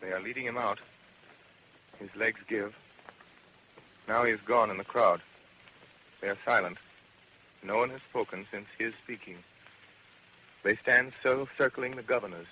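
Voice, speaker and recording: Grave old-time radio announcer. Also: male voice, fairly low pitch, bright, forceful tone. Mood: hushed, grave. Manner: measured, solemn, poetic. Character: grave radio announcer witnessing a restless crowd. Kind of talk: 1930s radio drama live commentary. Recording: dull, noisy old radio recording.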